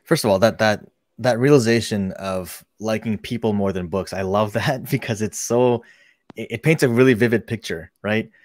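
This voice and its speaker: A man's voice: on the low side, moderate pace, dry recording. A man hosts an interview show and introduces his guest with enthusiasm and praise. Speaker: podcast host. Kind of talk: interview hosting.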